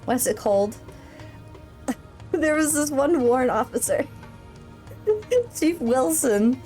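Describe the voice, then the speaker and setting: Woman in her thirties, veteran streamer, unhurried chatty storyteller with a higher voice. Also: darkish tone. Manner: chatty and rambling, laughs often, with frequent fillers. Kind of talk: casual livestream chat and storytelling.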